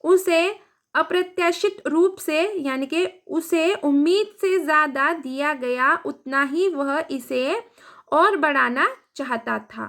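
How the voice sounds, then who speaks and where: A woman with an Indian accent, reading an English story aloud slowly and translating it word by word into Hindi as a teacher. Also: sits high, a bit bright. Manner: reads word by word, translating each.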